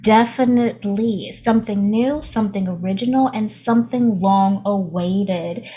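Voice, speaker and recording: A woman's voice: mid-range voice, unhurried, warm tone, close to an everyday voice. A woman voicing an upbeat female presenter who interviews a new pop group in a tabletop game, emphatic and repeating her phrases. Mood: bright, encouraging.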